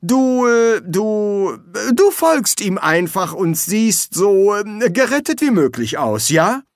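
A man's voice: high voice, very animated, measured pace, no echo, studio quality, pitched above the performer's own voice. A man voicing a sarcastic, domineering director in an audiobook, complaining and snappish in a bleating way. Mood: bossy. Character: sarcastic, domineering, jammering boss directing film shoots.

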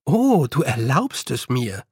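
A man's voice: medium pitch, wildly swooping pitch, slow pace, darkish tone, breathy edge, no room sound, full character voice. A man voicing a young girl in an audiobook, determined and guarded, with an insistent, dry manner. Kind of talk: audiobook character voice.

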